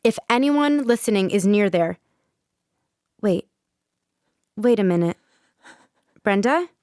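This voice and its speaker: A woman's voice: mid-range voice, expressive, slow, darkish tone, dry recording, studio quality. A woman playing an anxious radio host in an audio drama, questioning and urging on her reporter with insistence. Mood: worried, bewildered. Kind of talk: modern radio drama acting.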